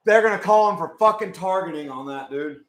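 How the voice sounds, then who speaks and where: A young man with a hoarse voice, chatting casually with his live chat as he watches a football game. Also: high voice, brisk, roomy sound. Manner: chatty, swears, talks to chat. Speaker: live stream host.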